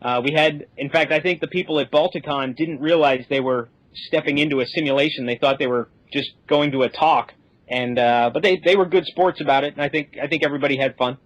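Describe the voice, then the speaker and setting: A man explains things informally as a guest on a podcast. His voice is a little high for a man, and the sound is very dry, with no room around it. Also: fairly quick, no room sound, muffled recording. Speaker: podcast guest, space simulation specialist.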